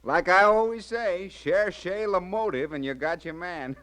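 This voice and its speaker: An actor playing a terse, folksy, matter-of-fact police sergeant and sidekick in a mid-century radio crime drama, full of slang. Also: male voice, sits high, measured pace, fairly bright tone. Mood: knowing.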